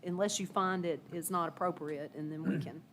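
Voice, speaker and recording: A woman's voice: on the low side, steady tone, a little fast, slight background noise. A woman on city staff giving an informal report at a board meeting, hesitant with many fillers and restarts, her voice a bit worn. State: losing her voice. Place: council meeting room.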